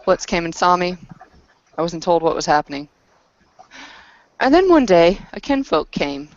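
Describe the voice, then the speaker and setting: A woman playing a battle-worn werewolf woman who speaks in a terse, grave, halting storytelling manner in a tabletop roleplay. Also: fairly low pitch, big pitch swings, no echo, dull audio. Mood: grim, halting.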